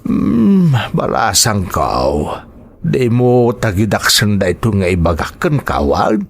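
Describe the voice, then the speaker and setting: Man acting an animated, gossiping older neighbour. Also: fairly low pitch, slow pace, creaky, exaggerated voice. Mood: hesitant, probing. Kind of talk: amateur radio-style drama acting.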